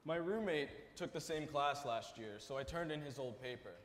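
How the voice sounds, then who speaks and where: A man's voice: a little high, normal speed, close to an everyday voice. A young man playing a worried college student owning up in a staged monologue. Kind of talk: staged readers theater monologue. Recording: echoey hall.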